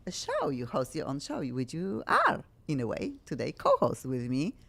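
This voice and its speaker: A middle-aged woman from Poland with a Polish accent, hosting a talk show in an effusive, animated way. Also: rumbling low, moderate pace, creaky. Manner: effusive, bubbly, animated, frequent laughs. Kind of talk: excitable talk show chat.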